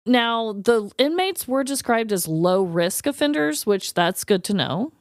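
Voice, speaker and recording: A woman co-hosting a podcast in casual, comedic chat, animated and quick to laugh and swear. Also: a little high, no room sound, studio quality. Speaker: podcast co-host.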